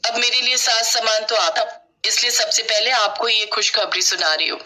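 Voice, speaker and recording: A woman's voice: on the high side, steady tone, normal speed, pushed and bright, poor audio. A woman voicing a selfish, snobbish young pregnant sister-in-law from the city in a wheedling, self-serving manner for a story. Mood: sugary, wheedling.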